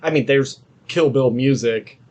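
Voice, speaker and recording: A man with a mid-pitched voice chats casually as a podcast co-host. He gives blunt opinions and swears freely, in an informal reviewing style. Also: a little slow, darkish tone. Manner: profane, opinionated.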